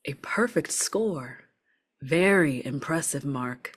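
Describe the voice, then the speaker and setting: A woman playing a stoic, politely chipper corporate orientation facilitator in a script table read, brisk, procedural and artificially courteous. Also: sits low, lively intonation, husky, full character voice. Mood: pleased, formal.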